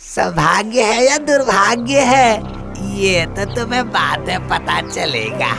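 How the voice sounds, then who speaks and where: A man playing a village master's sly accountant henchman, fawning and sneering, in an animated story. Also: high-pitched, slow, vocal fry, over music, exaggerated voice. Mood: sneering, bossy. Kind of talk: animated moral story acting.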